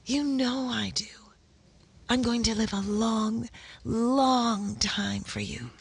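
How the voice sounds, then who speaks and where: A woman acts a young bride-to-be in an old-time radio horror play. Her voice is high with a creaky edge, and she speaks in a dramatic, heartfelt, theatrical way. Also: steady tone, slow, no echo, exaggerated voice. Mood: tender. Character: young bride-to-be, playful, then desperate while presumed dead.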